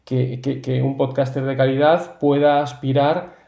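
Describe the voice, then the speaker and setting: Guy being interviewed, middle aged, mellow voice, wordy, roomy echo. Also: medium pitch, flat delivery, unhurried, vocal fry. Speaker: interview guest, company founder. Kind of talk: explanatory interview talk. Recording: roomy, echoey sound.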